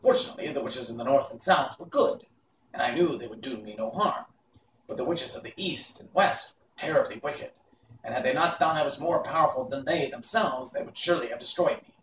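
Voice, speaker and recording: A man's voice: medium pitch, lively intonation, brisk, fairly bright tone, echoey room, distant mic, a little hiss or hum, close to an everyday voice, low-quality recording. A man voicing a little old humbug wizard, chatty and apologetic, in a storybook reading. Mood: confessional storytelling.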